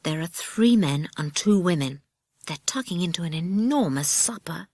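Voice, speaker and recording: Woman as an eager, hushed young boy. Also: lowish voice, lively intonation, normal speed, no room sound. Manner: hushed, conspiratorial. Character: eager young boy scout of child adventurers.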